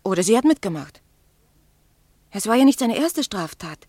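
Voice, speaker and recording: An actress playing a practical, insistent wife with a brisk, urging manner in a German radio drama. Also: female voice, mid-range voice, big pitch swings, a little mellow, no echo, clean studio sound. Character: practical, insistent wife of a snack bar owner.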